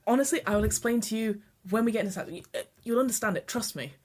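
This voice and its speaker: A woman's voice: a little high, quick pace, a little mellow, breathy edge, pitched above the performer's own voice. A woman voicing an urgent, resourceful young woman on the run, with a slight Irish accent, talking hurriedly and pleading.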